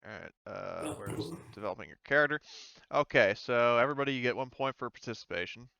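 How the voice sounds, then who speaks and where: A man's voice: medium pitch, a little fast, gravelly, sharp tone, smooth, no room sound. A man casually running a tabletop roleplaying game as game master, speaking informally with some swearing.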